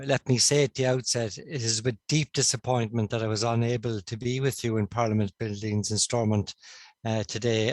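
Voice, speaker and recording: A middle-aged man with a Cork Irish accent, a stroke consultant giving a remote conference talk over a video-call headset, measured and with frequent fillers. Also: on the low side, no echo. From Ireland. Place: indoor room, on a video call.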